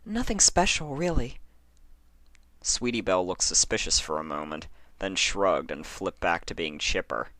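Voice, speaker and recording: A man reading a horror story as a dramatic narrator, phrasing deliberately with pauses for effect. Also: low voice, bright, forceful tone, no echo. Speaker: fan fiction narrator.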